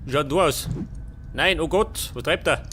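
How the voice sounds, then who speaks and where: A man's voice: a little high, measured pace, a bit bright, slight background noise. A man with a Bavarian accent doing casual let's play commentary, muttering to himself in colloquial, sweary bursts. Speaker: let's player.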